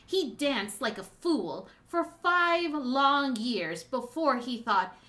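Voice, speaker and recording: A woman's voice: medium pitch, lively intonation, takes it slowly, bright, forceful tone, voice pushed down. A woman voicing a proud, grieving samurai's daughter, declaiming a comic's lines with impassioned, vengeful emphasis. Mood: bitter grief. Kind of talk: amateur dramatic reading of a comic's dialogue.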